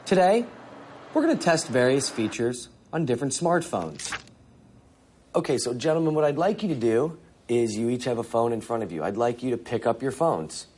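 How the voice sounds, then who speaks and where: A man's voice: medium pitch, expressive, unhurried, darkish tone, slight background noise. A man hosting a video test, upbeat and instructing a group. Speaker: video host. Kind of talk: hosted video segment.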